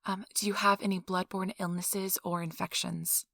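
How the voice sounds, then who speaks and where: Close and dry, a woman acts out a chatty receptionist-interviewer at a vampire donation clinic. Her voice is lowish with a crackly fry, and she talks in an officious, reassuring ASMR role-play manner. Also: level tone, moderate pace, a bit bright, dry recording. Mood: polite, businesslike. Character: chipper, gossipy female interviewer at vampire blood donation center.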